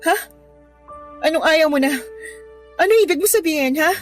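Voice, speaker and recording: A woman's voice: sits high, moderate pace, soft background music, natural voice. A woman acting an ambitious, scornful young girlfriend in a Filipino radio drama, with an emotional, cutting delivery. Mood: scornful, exasperated. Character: ambitious, scornful young girlfriend leaving her poor boyfriend.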